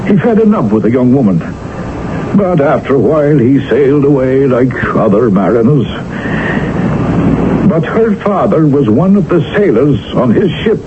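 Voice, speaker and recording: An actor in a 1970s radio drama playing a grave, formal older attorney who speaks in a measured, solemn way. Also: male voice, medium pitch, big pitch swings, natural voice. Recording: noisy lo-fi old radio broadcast.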